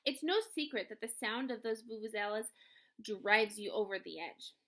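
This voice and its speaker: A woman's voice: a little high, expressive, moderate pace, sharp tone. A woman voicing a stern, dismissive school principal in a storybook, speaking with insistent authority.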